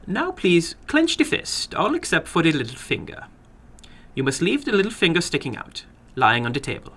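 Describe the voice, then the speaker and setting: Guy doing a creepy little foreign man, high voice, very polite n precise, bossy, horror story reading. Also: huge pitch swings, normal speed, bright, forceful tone, breathy edge, heavily acted. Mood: precise, commanding. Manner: stilted non-native phrasing, precise, courteous, commanding. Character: funny little foreign man, brisk, polite, sinister gambler.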